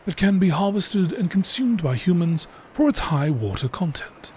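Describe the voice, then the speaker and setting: A man reading scripted science-fiction encyclopedia entries in a measured, formal nature documentary style. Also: high voice, sing-song, a little mellow, slight background noise. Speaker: narrator reading game lore entries.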